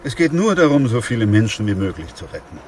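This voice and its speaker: A man playing a sober, weary, steadfast doctor in a German radio play, speaking in a grave, measured, reflective way. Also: fairly low pitch, sing-song, slight background noise. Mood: more pressing. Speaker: actor playing the narrator and lead.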